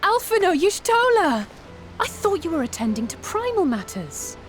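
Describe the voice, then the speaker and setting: An actress voicing a cheerful, kindly young woman in a video game, speaking brightly. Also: extremely high pitch, sing-song, moderate pace, faint music behind.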